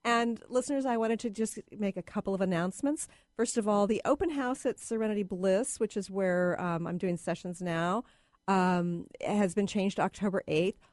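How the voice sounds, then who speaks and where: A woman hosting a radio talk show, chatting casually with a guest, often pausing with fillers. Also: medium pitch, a little mellow, no echo, clean studio sound. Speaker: radio talk show host and energy healer. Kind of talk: casual interview chat.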